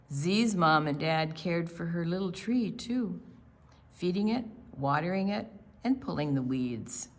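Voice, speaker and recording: Older woman, slow animated children's storytime reader. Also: on the low side. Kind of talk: read-aloud and simple explaining for young children.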